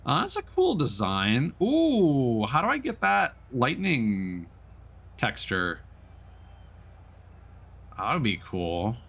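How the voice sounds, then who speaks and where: A man's voice: mid-range voice, wildly swooping pitch, slow pace, gravelly, pauses often, a bit bright. A man streaming a game with casual, informal, sweary live commentary. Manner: informal, sweary, self-critical. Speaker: streamer, VTuber.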